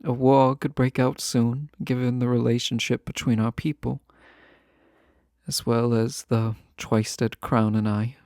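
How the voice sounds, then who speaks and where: A proud fantasy ruler, acted by a man, speaks with yearning and formal care. His mid-pitched voice has a marked gravelly fry and moves slowly through hesitant pauses. The sound is dry and intimate, in the style of a romantic audio roleplay. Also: a little mellow, no echo. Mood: subdued, thoughtful. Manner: formal, deliberate, earnest speech with hesitant pauses.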